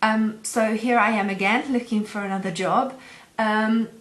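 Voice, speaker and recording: A woman's voice: medium pitch, steady tone, a little slow, a bit bright. Hesitant woman, echoey room. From Hungary. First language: Hungarian. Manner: hesitant, many ums and uhs. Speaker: job seeker. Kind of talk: self-introduction video to employers. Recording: echoey room, faint background hum.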